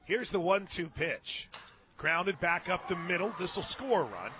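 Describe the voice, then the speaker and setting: Animated American man calling softball on radio. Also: high-pitched. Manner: conversational, wry asides between calls. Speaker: radio play-by-play announcer. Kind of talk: live softball radio commentary. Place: radio booth at a ballpark. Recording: noisy outdoor ballpark broadcast.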